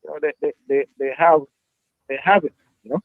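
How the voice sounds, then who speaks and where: A man's voice: fairly high pitch, fairly even intonation, fairly quick, gravelly, no echo. A man from Jamaica with a Jamaican accent, a youth football coach answering interview questions reflectively, with many "you know" fillers. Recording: dry tinny phone-like line.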